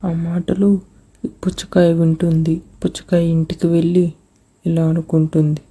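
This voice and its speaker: Casting: female storyteller for kids' moral tales, husky timbre, little pitch movement. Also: level tone, moderate pace, warm tone. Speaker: narrator. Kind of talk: scripted children's story narration.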